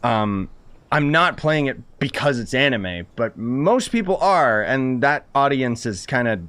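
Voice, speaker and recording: Rambling man streaming a game, mid-pitched. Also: animated, a little slow, fairly bright tone, clean tone. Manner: opinionated, rambling, slangy. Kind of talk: casual live game commentary. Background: faint game music.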